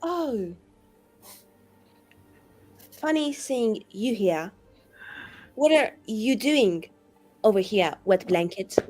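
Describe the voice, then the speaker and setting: A woman's voice: medium pitch, animated, extremely slow, pauses often, a little mellow, soft background music. A woman voicing a haughty, spiteful and very confident woman in a tabletop game, with a condescending, mock-innocent manner.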